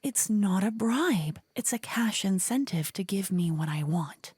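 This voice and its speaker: A woman's voice: medium pitch, expressive, a little slow, darkish tone, slightly rough, dry recording. A woman playing a spiteful, taunting rival necromancer woman in a whispery fantasy ASMR roleplay, menacing and mocking. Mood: indignant, demanding.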